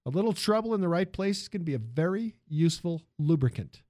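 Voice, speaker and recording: A man's voice: on the high side, big pitch swings, a little fast, darkish tone, no echo, studio quality, pitched above the performer's own voice. A man voicing an imperious, demanding industrialist in a narrated story, with a commanding and emphatic manner. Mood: cold, haughty. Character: imperious male automobile tycoon, demanding and cold.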